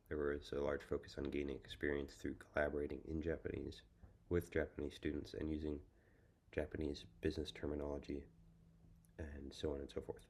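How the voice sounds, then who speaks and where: Very deep-voiced young American man. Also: darkish tone. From United States. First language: English. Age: young adult. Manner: measured, thoughtful, with frequent ums. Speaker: student presenter. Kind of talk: prepared symposium presentation.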